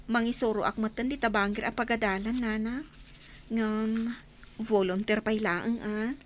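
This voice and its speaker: High-voiced young woman teacher played by an actress. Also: fairly even intonation, a little slow, lightly acted. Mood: modest. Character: friendly young woman, newly graduated volunteer teacher. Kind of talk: Ilocano radio drama acting.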